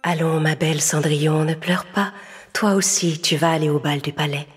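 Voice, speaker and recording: A woman voicing a kind fairy godmother in a children's story, soft and reassuring. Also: deep voice, fairly even intonation, normal speed, long reverb tail, soft background music, lightly acted. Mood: soothing.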